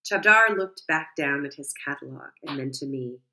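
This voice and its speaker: A woman calmly narrating a first-person story. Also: low-pitched, normal speed, rough recording.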